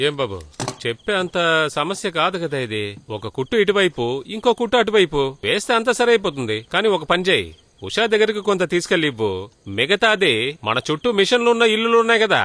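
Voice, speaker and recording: A man's voice: high voice, sing-song, quick pace, fairly bright tone, dry recording. A man playing a kindly local tailor in a film drama, colloquial, encouraging and reassuring.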